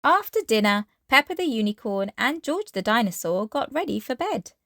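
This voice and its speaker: A woman's voice: on the high side, big pitch swings, normal speed, a bit bright, no echo, studio quality. A woman reading a children's bedtime story aloud as narrator.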